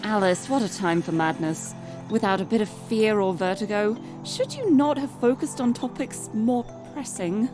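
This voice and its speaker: A woman's voice: mid-range voice, lively intonation, normal speed. A woman from the UK with a British accent, live streaming a game, chatting and reading aloud. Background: music.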